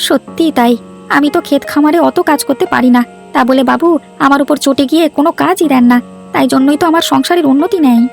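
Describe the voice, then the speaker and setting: A woman's voice: high-pitched, quick pace, vocal fry, soft background music. A woman voicing a poor, submissive, hesitant villager in a cartoon folk tale. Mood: plaintive. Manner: meek, complaining, deferential. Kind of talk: Bengali cartoon fairy-tale dialogue.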